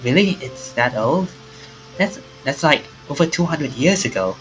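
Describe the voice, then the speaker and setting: A man's voice: fairly high pitch, lively intonation, measured pace, fairly bright tone, faint music behind, rough recording. A man voicing a curious, casual, questioning man, the protagonist of a visual novel.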